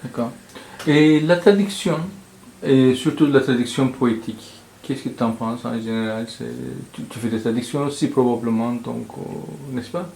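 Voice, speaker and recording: A man's voice: medium pitch, moderate pace, darkish tone. A man interviewing a poet, asking casual questions and searching for words as he goes. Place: outdoor poetry fair in a city square. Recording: echoey outdoor recording with faint noise.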